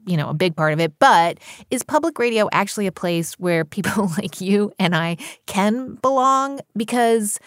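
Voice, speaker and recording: A woman hosting an interview podcast, asking curious, probing questions in a relaxed conversation. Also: mid-range voice, normal speed, hardly any pauses, no room sound, studio quality. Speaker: podcast host.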